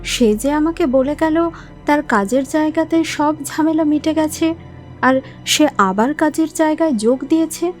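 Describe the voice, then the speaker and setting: A woman's voice: high-pitched, a little slow, faint music behind. A woman playing a concerned, inquisitive customer in an audio drama, speaking earnestly. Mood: anxious, bewildered. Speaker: voice actor in an audio story. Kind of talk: dramatized audio horror story dialogue.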